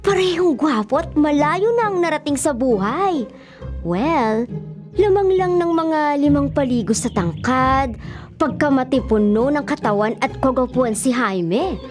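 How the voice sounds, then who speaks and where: An actress playing a joking, excitable young best friend with a teasing, exclaiming manner in a radio drama. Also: female voice, sits high, unhurried, faint music behind.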